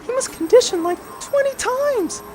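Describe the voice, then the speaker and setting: A woman's voice: very high voice, lively intonation, normal speed. A woman playing a flustered, easily smitten young adventurer in a comic audio drama, hesitant in her delivery.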